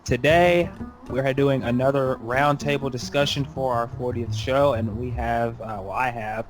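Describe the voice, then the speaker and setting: A man's voice: medium pitch, steady tone, a little slow. Male podcast host, mid-range voice, casual conversational delivery, music underneath. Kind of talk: casual roundtable podcast talk.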